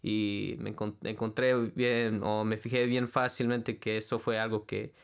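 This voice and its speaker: Young man, 20s, from California, smooth voice, hesitant guest. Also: fairly low pitch, flat delivery. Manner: halting, searching for words with many fillers. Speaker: interview guest, student and church worship leader. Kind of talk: casual interview conversation.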